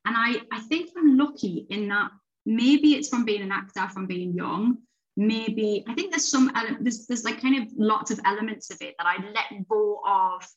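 A woman in her late thirties talks loosely as a podcast guest, circling back and restarting her sentences as her thoughts unfold. Her pitch sits in a plain middle range. The recording sounds a little dull and lo-fi. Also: moderate pace. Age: 30s. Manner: rambling, restarts often, laughs while talking. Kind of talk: casual conversation.

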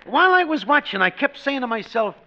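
An American actor playing a brash, scheming, wisecracking working-class neighbor in a 1940s radio sitcom, pushy and slangy. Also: male voice, very high-pitched, big pitch swings, brisk, pushed and bright, full character voice. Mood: hammy salesmanship. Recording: dull vintage radio broadcast sound.

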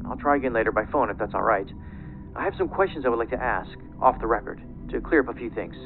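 A man's voice: mid-range voice, expressive, quick pace, music in the background. A man playing a courteous, businesslike investigator leaving a matter-of-fact phone message in an audio drama, heard through a telephone filter. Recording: narrow tinny phone message.

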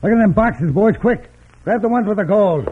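Male: curt, menacing radio-western gang boss, Western American accent, highish voice, phone-like vintage sound. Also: animated, normal speed. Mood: commanding, menacing. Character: brutal, bossy western bandit leader. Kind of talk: old-time radio western acting. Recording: noisy, tinny old radio recording.

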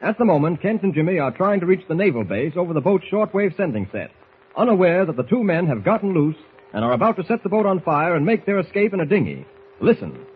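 A man's voice: high voice, very animated, fast talker, a little hiss or hum. A man narrating a 1940s radio adventure serial in a dramatic, declamatory manner. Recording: tinny, rough old radio recording.

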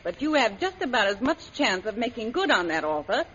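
An actress playing a defiant, mocking femme fatale in a 1930s-style radio serial, on a muffled old recording. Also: female voice, a little high, very animated, a little fast, fairly bright tone, slight background noise. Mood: scornful. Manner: mocking, bold. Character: defiant, sardonic femme fatale standing up to villain.

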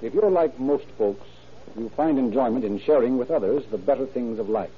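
An American man announces in scripted old-time radio style, as narrator and sponsor spokesman. His pitch is average. The recording is narrow and tinny, like an aged broadcast. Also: animated, moderate pace.

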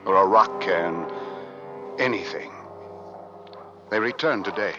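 An actor playing a weary 1850s ship's officer, narrating a doomed Arctic expedition with grave drama in an old-time radio play. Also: male voice, sits low, takes it slowly. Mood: somber, weary. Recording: muffled vintage radio recording, loud music.

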